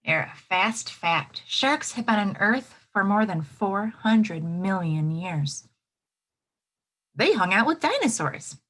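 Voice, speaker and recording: Woman teacher reading aloud with lively, swooping intonation. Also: mid-range voice, slow pace. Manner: expressive, prompts listeners with questions and asides. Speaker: elementary school teacher. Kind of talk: read-aloud lesson for young children.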